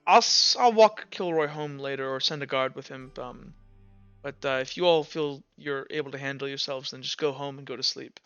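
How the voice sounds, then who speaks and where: A man voicing a weary female guard commander, stern but caring, speaking in a subdued, halting way for a tabletop game. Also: medium pitch, fairly bright tone, clean tone, no echo, highs cut off, soft background music, close to an everyday voice. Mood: tired, practical.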